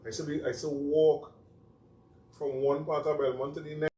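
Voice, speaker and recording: Man, Trinidadian accent, middle-aged, unhurried musing talk, roomy echo, set back from the mic. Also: a little high, little pitch movement, warm tone. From Trinidad and Tobago. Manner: reflective, loose and repetitive. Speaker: host. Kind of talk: casual chat. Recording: roomy sound, mic at a distance, faint noise.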